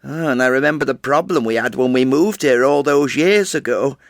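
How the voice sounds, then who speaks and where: An actor playing a chatty, fretful elderly widow who rambles and interrupts herself. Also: male voice, medium pitch, moderate pace, dry recording, clean studio sound, natural voice. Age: older. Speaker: actor performing a character monologue. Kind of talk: stage character monologue.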